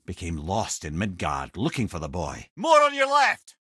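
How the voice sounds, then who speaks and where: A witty old Scottish storyteller, a learned talking head from a fantasy video game. His delivery swings widely in pitch, wry and wordy with old-fashioned turns of phrase. The sound is close and dry. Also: huge pitch swings, normal speed, bright, forceful tone, breathy edge, dry recording, heavily acted. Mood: urgent warning. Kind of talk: video game character dialogue, storytelling and battle callouts.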